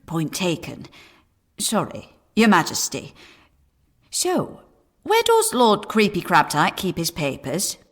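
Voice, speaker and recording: A blunt, lively old weaver. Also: female voice, lowish voice, slow pace, husky, heavily acted. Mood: scornful, brisk. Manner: folksy, outspoken, wry. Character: blunt, scornful older female weaver, shrewd businesswoman. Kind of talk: audio comedy drama acting.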